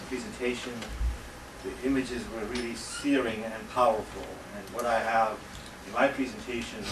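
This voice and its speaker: A middle-aged man from Pakistan, speaking as a panelist at an academic event in a measured way, with frequent pauses and dry asides. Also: mid-range voice, far from the mic. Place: lecture hall. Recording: echoey hall, speaker off-mic, faint room noise.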